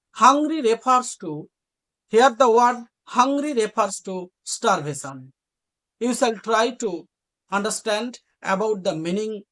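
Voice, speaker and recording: A woman teaches spoken English by retelling a simple story. She speaks very slowly with lively swings in pitch, explaining as she goes and repeating phrases so learners can follow.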